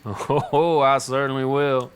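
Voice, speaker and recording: A man playing a bitter enemy warlord in a fantasy audio drama, mocking and sly in a deliberate way. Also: medium pitch, little pitch movement, clean tone, close to an everyday voice.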